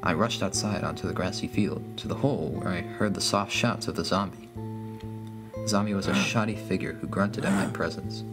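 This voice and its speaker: A man's voice: low-pitched, a little fast, music in the background. A man reading a scripted first-person horror story as its narrator.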